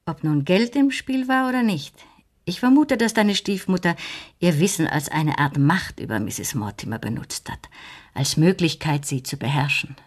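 Casting: lonely, clever older spinster in radio mystery, deep smoky voice, measured delivery. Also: female voice, expressive. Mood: pensive, explaining. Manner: measured, deliberate reasoning. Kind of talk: German radio crime drama dialogue.

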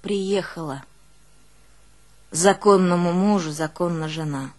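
An actress playing a proud, aggrieved peasant wife with a rural northern Russian accent, in an earthy, colloquial, emotional theatrical monologue. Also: female voice, fairly low pitch, slow. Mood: indignant, aggrieved. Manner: colloquial, emotional, swinging from scolding to hushed confiding. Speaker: stage actress in a one-woman audio play. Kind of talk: theatrical monologue with snatches of village folk ditties.

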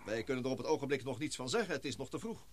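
An actor playing an earnest, excitable scientist and expedition leader in a Dutch radio play, speaking formally and precisely. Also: male voice, mid-range voice, very fast, close to an everyday voice. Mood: grave, explanatory.

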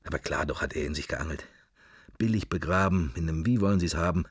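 A daydreaming man lost in interior monologue in a radio play. The voice is deep and husky, recorded close and dry, drifting from one fragment of thought to the next. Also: brisk, no echo. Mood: wry, musing. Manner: fragmentary, drifting, thinking to himself. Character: musing man in Dublin, idly drifting daydreamer.

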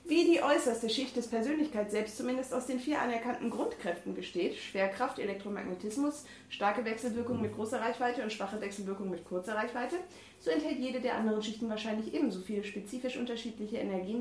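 A woman with a regional German dialect reads passages aloud in a casual talk show. Her voice sits a little high, and she stumbles over the wording now and then. The room is echoey, with faint hiss behind her. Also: a little mellow. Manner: reads text aloud haltingly, amused. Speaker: podcast co-host reading from a book. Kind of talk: casual chat, reading aloud.

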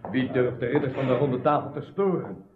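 An actor playing an impatient, haughty knight in a radio drama, brusque in manner. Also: male voice, mid-range voice, a little fast, a crowd in the background, lightly acted. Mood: angry, impatient. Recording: echoey, muffled narrow-band radio recording.

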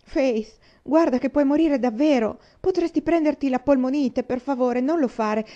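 A woman's voice: medium pitch, fairly quick, dark tone, highs cut off, natural voice. A woman reading aloud voices an earnest, worried little girl who pleads with her sister. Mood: pleading.

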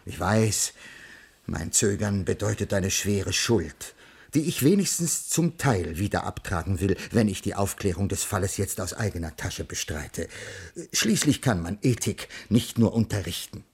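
An actor playing a pedantic, earnest middle-aged ethics teacher with formal, stilted diction in a radio crime play. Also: male voice, deep voice, expressive, unhurried, a little mellow, breathy edge, exaggerated voice. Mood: earnest, dignified. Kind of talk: 1980s German radio crime play acting.

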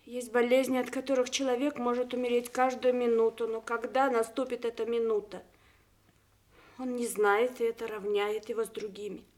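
An actress playing a general's devoted, anxious wife in a Soviet radio play, with an expressive, emphatic manner. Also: female voice, a little high, normal speed, lightly acted. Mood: grave, anxious.

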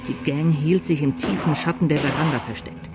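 A man's voice: on the high side, fairly quick, over loud music. A man reading scripted dramatic narration as the storyteller of a radio play.

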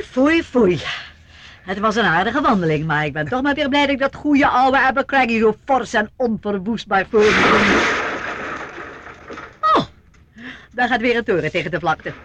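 An actress playing a brisk, eccentric older aristocratic aunt in a radio play, chatty, commanding and fondly scolding. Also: female voice, mid-range voice, a bit bright, slight background noise, close to an everyday voice.

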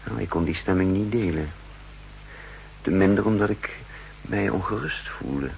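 Introspective double agent, Netherlands Dutch, measured narration, low-pitched, 1960s radio drama, faint hiss. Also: male voice, gravelly, darkish tone, low-quality recording. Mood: uneasy, pensive. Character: brooding male undercover double agent narrating his own story. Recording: hissy 1960s radio recording.

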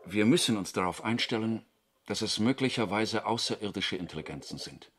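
The practical leader of a moon station crew in a radio play. His bright, forceful voice moves with lively intonation. He is cautious yet decisive, over faint noise. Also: lowish voice, brisk. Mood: sober. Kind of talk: science fiction radio drama.

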